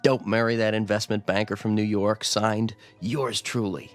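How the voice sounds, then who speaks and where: An actor playing a sarcastic, possessive young banker fiancé in a radio drama, with a wry delivery. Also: male voice, lowish voice, steady tone, normal speed, faint music behind, close to an everyday voice. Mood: teasing. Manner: wry, sardonic.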